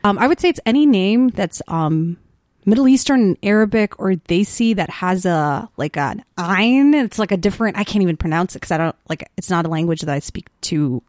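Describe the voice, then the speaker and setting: A woman in her twenties from the Chicago suburbs, a teacher chatting on a podcast, talking quickly with lots of "like" and acting out what people said. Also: medium pitch, expressive, a little mellow, no room sound, clean studio sound. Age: 20s. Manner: chatty, fast, full of "like", acts out quoted voices. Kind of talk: casual podcast chat.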